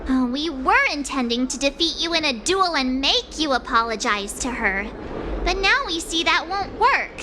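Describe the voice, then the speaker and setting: A blunt, perky teenage girl hero from a video game. Her voice is piercingly high and swoops with brash, theatrical energy. Clear ambient noise runs behind it. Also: animated, moderate pace. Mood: matter-of-fact.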